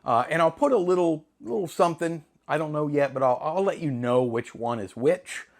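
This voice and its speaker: A middle-aged man with a slightly hoarse voice, chatting casually to camera in a rambling, self-deprecating way with jokey asides. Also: on the high side, moderate pace. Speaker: film hobbyist channel host.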